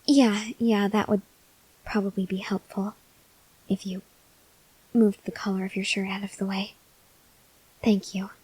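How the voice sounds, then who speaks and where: A woman playing a gentle, awkward, hungry female vampire in an ASMR roleplay, speaking softly and hesitantly with breathy near-whispers and tender reassurance. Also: medium pitch, flat delivery, unhurried, pauses often, no echo, poor audio. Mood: hesitant, apologetic. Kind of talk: ASMR roleplay, intimate address to the listener.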